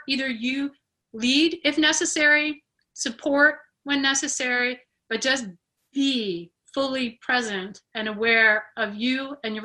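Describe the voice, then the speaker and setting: A woman speaking reflectively as a panelist, earnest and deliberate in her phrasing. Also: sits high, bright, forceful tone.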